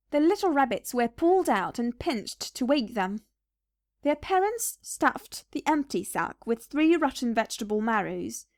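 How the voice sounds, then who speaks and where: High, animated woman storyteller. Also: dry recording, clean studio sound. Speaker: storybook narrator. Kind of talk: children's read-aloud scripted narration.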